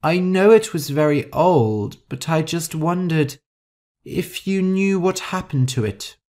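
A woman's voice: low voice, lively intonation, slow pace, a little mellow, voice pushed up. A woman voicing a haughty, vain, hot-tempered older heiress in an audiobook story, with an imperious, theatrical manner. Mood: polite, probing.

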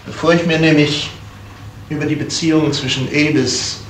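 An actor playing a shrewd, courteous gentleman detective in a 1970s radio crime drama, speaking deliberately and probingly. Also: fairly high pitch, fairly even intonation, lightly acted. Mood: musing. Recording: noisy vintage radio play recording.

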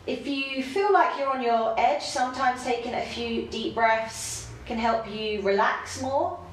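A young woman with a stuffy nose from hay fever, teaching a casual yoga class at home, chatty with laughing asides, then slow and calm cues. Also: fairly high pitch. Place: home room with yoga mat. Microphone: camera across the room. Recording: very echoey room, faint background hiss.

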